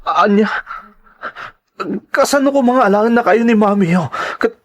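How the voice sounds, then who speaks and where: A man's voice: high-pitched, animated, moderate pace. A man with an Ilocano accent playing an aggrieved, protective father, pleading emotionally in a radio drama. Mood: hurt, tearful.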